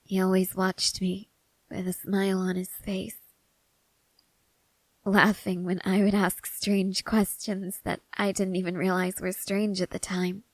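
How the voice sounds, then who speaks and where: A woman playing a haunted, restless young woman soldier in an intimate ASMR character roleplay, speaking haltingly and broodingly with pauses. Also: medium pitch, little pitch movement, no echo, low-quality recording. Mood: wistful. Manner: slow, halting, brooding delivery with long pauses.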